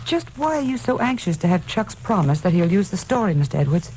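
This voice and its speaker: An actress playing a sassy, level-headed secretary, dry and direct, in old radio detective drama style. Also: female voice, medium pitch, sing-song, a little fast, darkish tone, lightly acted. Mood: direct, probing. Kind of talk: 1950s radio drama acting. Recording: noisy old radio recording.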